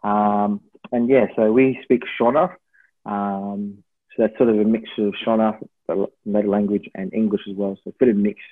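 Husky Australian man on a phone-like line. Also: fairly low pitch, level tone, normal speed. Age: 20s. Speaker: podcast guest, university student. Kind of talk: casual interview chat. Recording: thin phone-like line with room echo.

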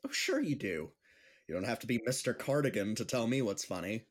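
A man's voice: medium pitch, very animated, fairly quick, slightly rough. A man playing an upbeat, chatty friend and amateur comic in an audio drama, with a playful, teasing manner.

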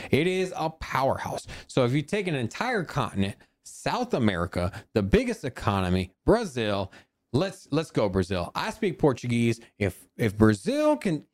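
A man's voice: mid-range voice, darkish tone. A man hosting a podcast with casual, enthusiastic commentary, thinking aloud and often repeating his phrases as he goes. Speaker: podcast host. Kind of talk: casual crypto market commentary.

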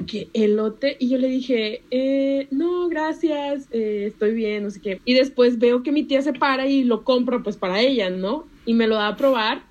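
A woman's voice: fairly high pitch, darkish tone, highs cut off. A young woman from Panama with a northern Mexican Spanish accent, a guest telling stories in a casual podcast chat. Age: young adult. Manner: chatty, storytelling, laughs often.

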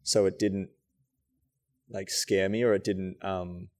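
A man's voice: low-pitched, flat delivery, takes it slowly, darkish tone. A young man, a choreographer and dancer, chatting casually and reflectively in an interview, with many fillers. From Australia.

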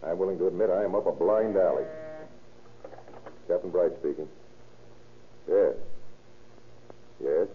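A muffled vintage radio recording of a gruff police captain. His voice is very low, with clipped, slangy, commanding delivery in the manner of an old crime serial. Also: a little slow, long pauses, slight background noise. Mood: stern, impatient, then brisk. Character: gruff, brisk middle-aged male police captain investigating a murder. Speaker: actor in a radio crime drama. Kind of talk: old-time radio drama acting.

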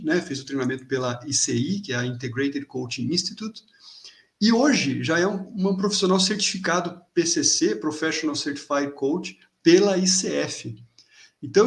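Male host, slightly high voice, upbeat formal introductions for a live show. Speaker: host of live interview series. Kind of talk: live stream interview hosting.

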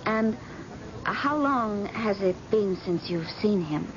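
A young woman, poised and coolly playful, at a medium pitch. She speaks in the style of old American radio drama. The sound is dull, with plain noise behind it. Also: animated, slow pace. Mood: polite, curious.

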